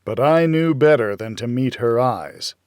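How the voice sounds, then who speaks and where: A man's voice: mid-range voice, animated, a little slow, darkish tone, clear, resonant tone, no echo, studio quality. An American man reading scripted first-person noir thriller narration as an audiobook narrator.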